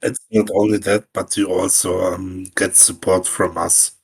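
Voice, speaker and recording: A man's voice: low voice, flat delivery, a little slow, breathy edge. A man from Kazakhstan, a guest from a crypto team, giving brief, hesitant answers in a casual chat.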